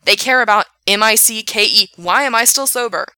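A woman voicing an angry young male park worker who rants loudly and swears. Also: on the high side, moderate pace, creaky, no room sound, clean studio sound, exaggerated voice. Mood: furious. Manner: loud, swearing, ranting.

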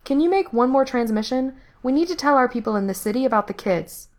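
Casting: sharp-tongued rebel woman played by a female narrator, higher voice, brash, snappy, audiobook dialogue. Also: lively intonation, quick pace. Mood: calm, practical.

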